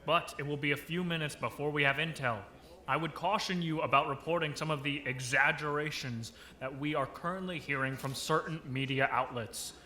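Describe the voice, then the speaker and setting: A defensive general in a radio drama briefing. He is stern and dismissive, with a tense, slightly high voice that rings in an echoey room. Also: steady tone, a little fast, bright, forceful tone. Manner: stern, clipped, official. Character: defensive male general, military spokesman, dismissive.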